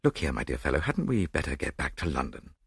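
A man voicing an earnest, impatient gentleman sidekick in an audiobook, urgent and politely tactless. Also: rumbling low, very animated, fast, creaky, slightly rough, no echo, clean studio sound, pitched below the performer's own voice, full character voice. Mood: awkward, reproachful.

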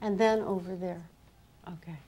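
A woman's voice: fairly low pitch, steady tone, moderate pace, mellow, breathy edge. A middle-aged woman moderating an author event on stage, talking casually in informal, broken-up phrases. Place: on stage in an auditorium with an audience.

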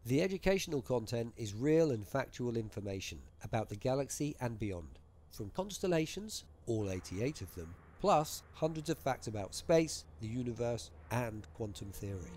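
A man's voice: mid-range voice, big pitch swings, normal speed, darkish tone, slight background noise. A man presenting a space game feature in scripted promotional narration and spoken commands. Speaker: presenter and player giving commands. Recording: music behind the voice.

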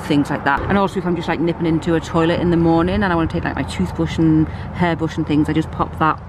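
A British woman in her thirties giving a relaxed, chatty walkthrough of her car, filmed on a handheld camera. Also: low voice, fast, background noise. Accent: British English. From United Kingdom. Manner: chatty, relaxed, lots of fillers. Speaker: vlogger. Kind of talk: casual show-and-tell walkthrough. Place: inside and around a car, outdoors by the sea.

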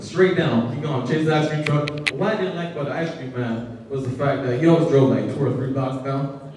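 A young man doing stand-up comedy on a theater stage, telling stories loudly on a handheld mic and short of breath. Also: medium pitch, fairly quick, background noise. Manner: loud animated storytelling, shouting bits. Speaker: stand-up comic. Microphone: handheld mic, heard from far. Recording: echoey theater from far off.

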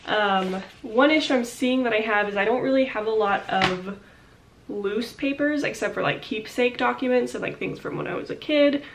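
A young woman in her twenties chatting casually to camera in her home office, congested and a little short of breath, rambling with lots of fillers. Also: a little high. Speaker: vlogger. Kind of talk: casual vlog chat. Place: small home office, indoors.

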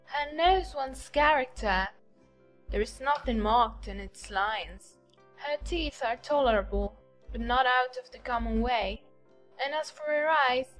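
A woman putting on a sneering high society lady. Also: poor audio. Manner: sneering, mock-polite.

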